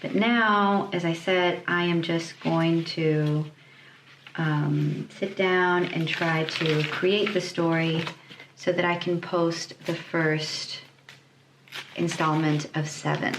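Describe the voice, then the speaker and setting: A woman illustrator chatting casually on her vlog, hesitant, often pausing and repeating words as she thinks. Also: on the low side. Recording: echoey room, clear background noise.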